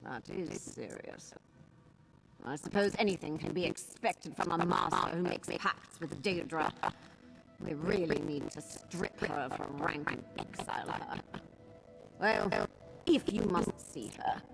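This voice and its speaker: A woman's voice: fairly low pitch, unhurried, vocal fry, over music, poor audio. An actress playing a haughty, impatient sorceress in a video game, pompous and dismissive of her peers. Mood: haughty disdain. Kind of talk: video game character dialogue.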